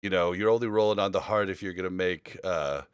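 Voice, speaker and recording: Male podcast host, lower register, dry close sound, loose rambling gamer chat. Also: a little fast, smooth, no echo. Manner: rambling, informal, swearing. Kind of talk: casual gaming podcast chat.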